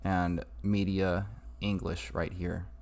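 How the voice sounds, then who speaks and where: A man with a low voice walks through a casual computer tutorial. He takes his time, speaking slowly and informally as he improvises each step. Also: level tone, gravelly, a little mellow. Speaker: tutorial maker. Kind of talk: casual screen-recorded tutorial.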